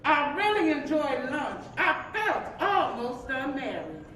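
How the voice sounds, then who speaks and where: A sassy, chatty married woman of the 1950s, played by an actress on stage, gossiping with folksy endearments. She speaks high and unhurried, and sounds far off in a very echoey hall. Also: very animated, a bit bright, a little hiss or hum, full character voice. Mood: gossipy, amused. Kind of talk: live stage reading of a play.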